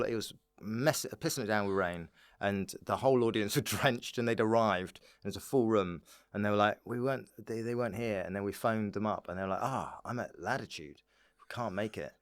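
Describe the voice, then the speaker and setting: The British comic at the podcast table, spinning daft club stories in a low, smooth voice. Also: male voice, no room sound, clean studio sound. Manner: playful, joking, riffing. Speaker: stand-up comedian and comedy club founder. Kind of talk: casual comic chat on a podcast.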